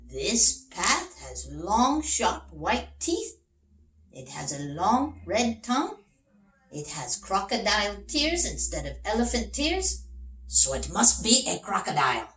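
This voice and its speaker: A self-assured detective from a children's storybook , acted by a woman reader. The delivery is emphatic and deliberate, with lively rises and falls. It sounds a bit away from the mic in a roomy space. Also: mid-range voice, far from the mic, a crowd in the background, pitched above the performer's own voice. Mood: deducing. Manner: declarative, emphatic reasoning. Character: confident, deliberate little male detective Big Max.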